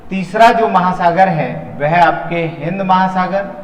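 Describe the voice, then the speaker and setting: A man's voice: high-pitched, unhurried, lo-fi sound. A man teaching in an exam-prep lecture style, repeating phrases and asking questions that he answers himself. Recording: echoey hall-like room reverb.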